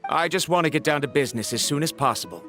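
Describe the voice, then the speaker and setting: An actor plays a stiff, businesslike scholar in a video game. The voice is mid-pitched yet expressive, with a curt, formal and skeptical edge. Light music plays behind. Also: fast talker, lightly acted. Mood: stern, impatient.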